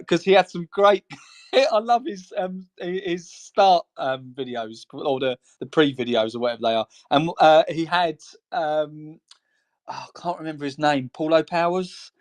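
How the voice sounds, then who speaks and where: A man co-hosting a podcast in casual chat, talking in a rambling, chatty way and laughing between phrases. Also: medium pitch. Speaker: co-host.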